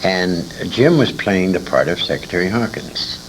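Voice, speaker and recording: Very deep-voiced older man reminiscing. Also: lively intonation, moderate pace. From born in England, grew up in Detroit, USA. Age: 60s. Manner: emphatic, detailed anecdotal telling with asides. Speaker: veteran radio actor interviewed. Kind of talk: reminiscing interview storytelling. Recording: noisy 1980s convention interview recording.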